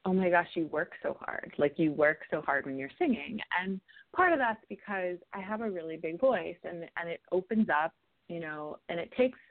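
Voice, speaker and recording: A woman singer-songwriter from New York City talks through her answers in a radio interview, thoughtful and rambling. Her speaking voice sits slightly low for a woman. The sound is narrow and tinny, like a phone line. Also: lively intonation, moderate pace.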